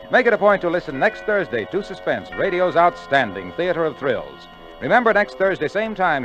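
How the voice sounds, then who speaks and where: A man's voice: fairly high pitch, dull audio. An American man announcing on 1940s radio with a polished, emphatic delivery. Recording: band-limited vintage 1940s radio broadcast.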